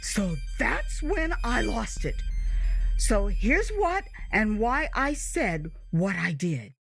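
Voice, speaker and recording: Woman telling her own story, super expressive and theatrical, pitch all over the place, music behind. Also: takes it slowly, gravelly. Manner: theatrical, expressive, emphatic delivery. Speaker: storyteller, author reading own memoir. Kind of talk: dramatized first-person storytelling.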